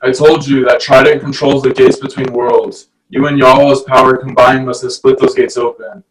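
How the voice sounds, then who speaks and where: A man's voice: medium pitch, little pitch movement, normal speed, distant mic, natural voice. A man reads a stern, protective fish-creature guardian who barks short, urgent orders. He sounds set back from the mic in a roomy, echoing space. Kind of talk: table read.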